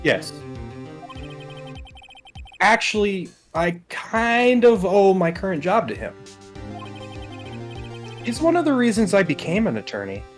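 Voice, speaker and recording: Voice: mid-range voice, very animated, slow pace, pauses often, soft background music, pitched above the performer's own voice. A performer voicing a nervous, earnest young male rookie lawyer in a video game, hesitant and stammering.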